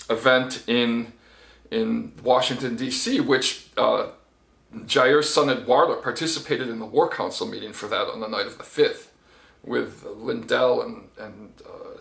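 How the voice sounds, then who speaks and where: A man's voice: medium pitch, gravelly, fairly bright tone. Man in an echoey room. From United States. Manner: conversational, many fillers and restarts. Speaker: journalist giving a report. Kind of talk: casual spoken commentary.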